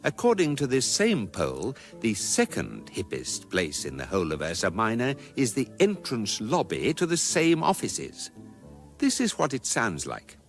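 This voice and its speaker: An actor playing the calm, erudite narrator of a galactic guidebook in a radio comedy, with measured, deadpan delivery. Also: male voice, mid-range voice, faint music behind. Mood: dry, measured. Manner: measured, dry, deadpan delivery of absurd statements. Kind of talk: scripted comic narration in radio drama.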